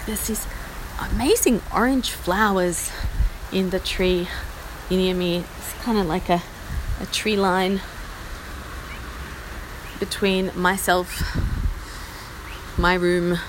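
Husky, slow-talking Australian woman. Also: mid-range voice, background noise. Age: 40s. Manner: rambling, self-deprecating, trailing off. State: husky from a chest infection. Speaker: podcast host. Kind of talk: casual personal update. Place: small outdoor patio in the rain. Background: faint rain.

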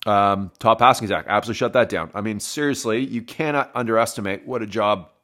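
Hoarse, wheezy man. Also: fairly low pitch, fast talker, a bit bright. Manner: rambling, self-correcting, addresses listeners as folks. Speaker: sports podcast host. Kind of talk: solo game review.

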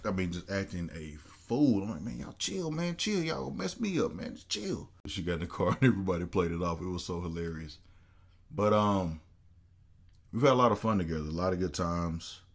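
A man with a very low, deep voice tells a story on a casual podcast. He brings the people in the story to life by playing their voices, and his delivery stays loose and conversational. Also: fairly quick. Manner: animated storyteller acting out quoted voices. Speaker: podcast co-host.

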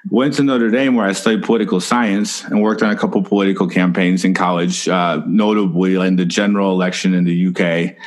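A man in his thirties with a very low voice answers as a guest. He talks casually and chattily, with fillers and quick self-corrections along the way. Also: fairly even intonation. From Chile, raised in Missouri. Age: 30s. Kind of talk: casual interview talk.

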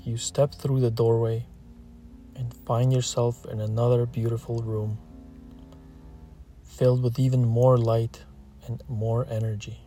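A man narrating a scripted guided meditation, calm and gentle, leaving long pauses between short phrases. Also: medium pitch, soft background music.

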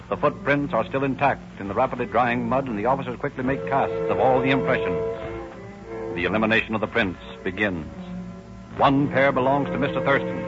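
An actor as a grave, formal American narrator, reading scripted 1930s radio crime narration. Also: male voice, medium pitch, lightly acted. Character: grave, formal male narrator of police story. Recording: dull old radio recording.

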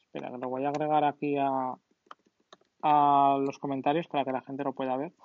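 A man in casual chat as a co-host, relaxed and asking questions. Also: medium pitch, a little fast. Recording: dry, dull lo-fi sound.

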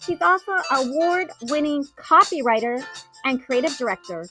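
A woman's voice: sits high, lively intonation, moderate pace, sharp tone, faint music behind, rough recording. A woman hosting an interview over a remote call, bubbly and chatty, laughing often and using lots of fillers. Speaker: host. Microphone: remote call from home.

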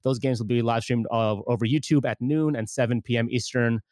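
A man's voice: medium pitch, a little fast, a little mellow, no room sound, clean studio sound. A man hosting a casual podcast, chatting loosely with plenty of fillers and restarts. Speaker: podcast host.

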